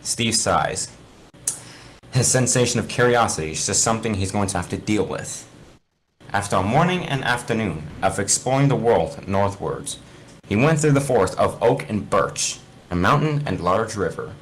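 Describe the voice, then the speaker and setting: A man with a lowish voice reads a story aloud for friends. His pitch swings widely, and he trips on words and fixes them as he goes. There is faint noise behind him. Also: expressive, moderate pace, low-quality recording. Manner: stumbles and corrects words while reading. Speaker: reader of a fan fiction story. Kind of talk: informal read-aloud narration among friends.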